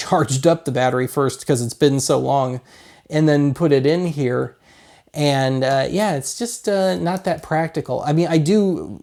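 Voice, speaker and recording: A man's voice: mid-range voice, gravelly, a little mellow. A man in his thirties from Colorado with an American accent, chatting casually through a product review in a wry, rambling way. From Colorado, United States. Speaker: host of a hobby video series.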